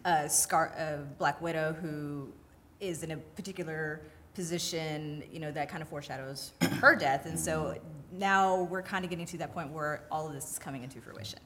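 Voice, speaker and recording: A woman's voice: deep voice, normal speed, a bit bright, a little hiss or hum. A woman co-hosting a podcast, recapping and discussing a film in casual conversation. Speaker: podcast co-host.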